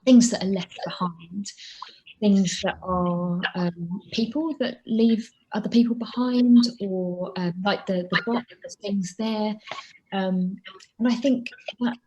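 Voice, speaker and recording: Mellow-voiced woman interviewer, effusive. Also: medium pitch, fairly even intonation, takes it slowly, dull audio, slight background noise. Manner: effusive, rambling, with fillers and restarts. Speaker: interviewer and fellow poet. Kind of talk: conversational interview. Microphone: video call.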